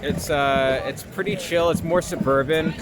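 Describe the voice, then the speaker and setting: A man's voice: fairly high pitch, fairly even intonation, moderate pace. A young man chatting casually and jokingly in a slangy, informal street interview. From Long Island, New York, United States. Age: young adult. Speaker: interviewee, actor and improviser. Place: outdoors in a city street. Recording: noisy outdoor city street with traffic.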